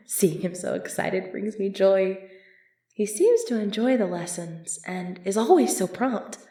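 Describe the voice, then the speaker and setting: A woman's voice: medium pitch, animated, takes it slowly, mellow, slightly rough, dry recording, clean studio sound. A woman playing an earnest, easily flustered medieval knight devoted to her prince, speaking politely and formally in an ASMR roleplay. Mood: wistful, fond. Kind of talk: ASMR roleplay acting, formal old-fashioned speech.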